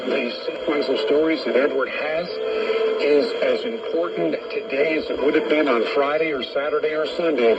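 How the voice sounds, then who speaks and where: Muffled man hosting shortwave talk radio. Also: mid-range voice. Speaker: radio program host. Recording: muffled shortwave radio with loud music bleeding through.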